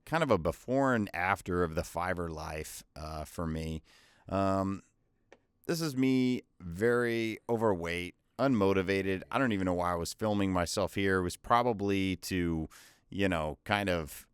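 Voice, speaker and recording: A man with a deep bass voice, pitched on the low side, shares freelancing advice in a casual, loose manner with the odd filler and restart. The recording is dry and close, with no room sound. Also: clean tone, no echo. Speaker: voiceover artist and freelancer teaching viewers. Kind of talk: tutorial with screen walkthrough.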